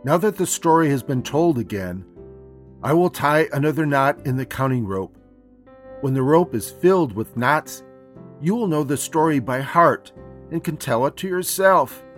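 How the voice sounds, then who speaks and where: A man voicing a loving, solemn old grandfather in a storybook read-aloud, grave and measured. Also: fairly high pitch, lively intonation, faint music behind, pitched above the performer's own voice, natural voice. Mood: tender, solemn.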